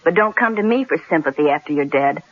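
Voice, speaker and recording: An anxious newspaper secretary with a sharp tongue in vintage radio drama. Her delivery is lively and sardonic, with a husky edge. The broadcast is thin and tinny. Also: low voice, fast. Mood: resigned, sardonic. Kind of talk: 1970s radio drama acting.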